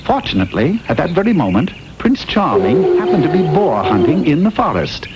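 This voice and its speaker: A man's voice: on the high side, wildly swooping pitch, brisk, highs cut off, over loud music. A man playing a droll, theatrical storyteller narrating a comic fairy tale for a classic cartoon. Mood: droll storytelling. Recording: noisy old TV cartoon recording.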